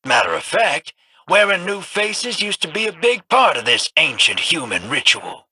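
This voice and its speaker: An actor playing a cocky, folksy old gunslinger rogue in a video game, talking with a drawling, wisecracking swagger. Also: male voice, a little high, sing-song, moderate pace, pushed and bright, a little hiss or hum, exaggerated voice. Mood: wry. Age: older.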